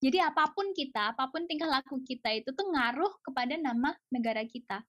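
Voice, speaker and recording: A woman's voice: high voice, animated, quick pace, fairly bright tone, no room sound, studio quality. A woman chatting casually and emphatically as an interview guest, outspoken, with rhetorical questions and the odd English phrase. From Indonesia. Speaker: podcast guest, researcher living in Japan. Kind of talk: casual, opinionated interview chat.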